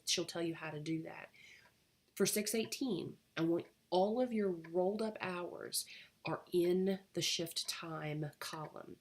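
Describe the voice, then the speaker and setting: A woman course instructor recording class reminders, her voice husky as she gets over a cold, talking with many fillers. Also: on the low side. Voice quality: husky, fading voice. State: getting over a cold, coughing.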